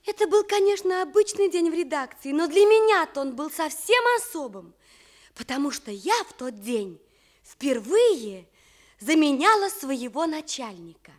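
An actress in a Soviet radio play voicing an earnest newspaper office worker, polite and official in manner. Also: female voice, high-pitched, big pitch swings, moderate pace. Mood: reflective. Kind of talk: Soviet radio theatre acting.

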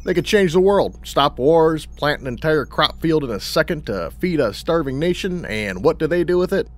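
An actor playing a jaunty, blasé, chatty regular customer with a reckless streak in a comedy audio drama. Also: male voice, a little high, lively intonation, moderate pace, close to an everyday voice. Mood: marvelling. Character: breezy, cheerfully reckless, chatty regular customer named Charlie.

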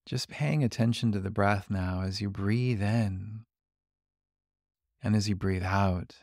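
Guy doing a guided body scan, really slow and gentle, bit of gravel in the voice, super dry close sound. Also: on the low side, no echo. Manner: gentle, calm, unhurried instruction with long pauses. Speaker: meditation guide.

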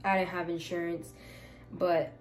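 A woman in her twenties from Miami, telling a casual storytime from her bedroom, animated, swinging from loud exclaiming to hushed asides. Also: fairly low pitch, unhurried, soft background music, poor audio. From Miami, United States. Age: 20s. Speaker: vlogger. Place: bedroom, indoors. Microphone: camera in front, seated.

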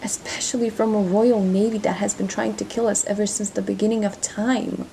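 A woman's voice: mid-range voice, flat delivery, a little fast, gravelly, dark tone, slight background noise, close to an everyday voice, poor audio. A woman playing a proud, hurt female pirate captain in a dramatic audio roleplay, guarded and intense. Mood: angry, hurt.

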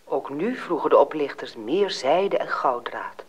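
A man narrating a fairy tale as a dramatic storyteller, with a husky voice. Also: on the high side, expressive, measured pace, highs cut off.

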